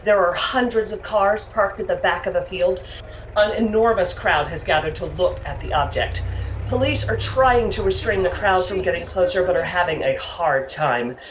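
A woman's voice: lowish voice, moderate pace, a little hiss or hum, natural voice. An actress playing a plucky, no-nonsense female field reporter in a 1930s radio play spoof. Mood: intent, descriptive. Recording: dull, distant mic with music behind.

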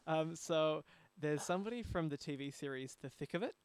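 A man's voice: a little high, animated, brisk, clean tone. A man hosting a conversational podcast interview. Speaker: interview host.

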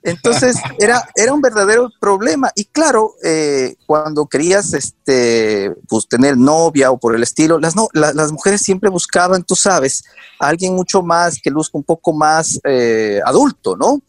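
A man in his forties from Quito with an Ecuadorian accent, a guest telling his story in a casual interview, animated and often laughing. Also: fairly high pitch, unhurried, dry recording. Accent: Quito Ecuadorian Spanish. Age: 40s.

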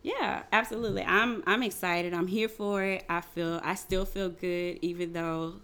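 A woman co-hosting a podcast, speaking reflectively and haltingly with many fillers. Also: on the low side, steady tone, a bit bright. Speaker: podcast co-host. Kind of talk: casual chat.

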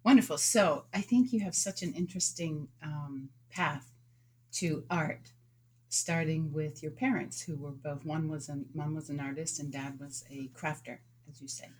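Low-voiced woman podcast host. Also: moderate pace, a little mellow, a little hiss or hum. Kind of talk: casual interview chat.

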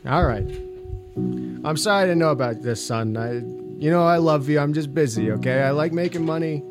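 A man's voice: fairly high pitch, fairly quick, music in the background, lightly acted. A man playing a hungover, flustered rich father on a comedy phone call, swearing and rambling. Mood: sheepish. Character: hungover rich careless middle-aged dad. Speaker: caller character.

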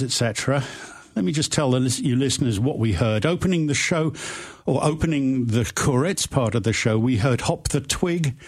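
A male radio host talks into a studio microphone at a steady middle pitch. He sounds enthusiastic, but his sentences keep stalling on fillers and restarts. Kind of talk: radio interview.

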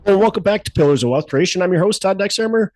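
A man's voice: high-pitched, lively intonation, fast, a little mellow, no room sound. A man hosting a podcast in casual chat, with a stuffy nose and a cough, rambling with many fillers and restarts. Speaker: podcast host.

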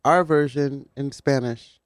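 A man with a raspy voice co-hosting a morning radio show, chatting casually with quick, lively reactions on a studio microphone. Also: medium pitch, dry recording.

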